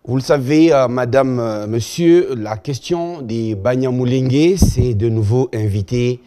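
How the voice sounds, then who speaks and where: A man hosting a studio interview, measured and full of hesitations. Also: mid-range voice, warm tone, big-room sound. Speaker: TV talk show host.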